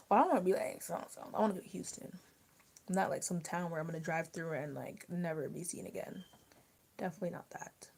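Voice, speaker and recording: A young woman hosting a casual livestream while she eats dinner. She rambles and drops often into soft near-whispers. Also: fairly low pitch, creaky, breathy edge. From US mid-Atlantic, rural border area. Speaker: livestream host. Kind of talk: casual chat with live viewers while eating.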